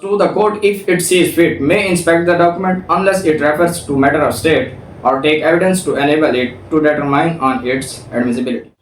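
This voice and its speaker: A man with a Pakistani accent giving an explanatory law lecture, reading out and rephrasing points in a didactic way. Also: on the high side. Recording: echoey room, distant mic, background noise.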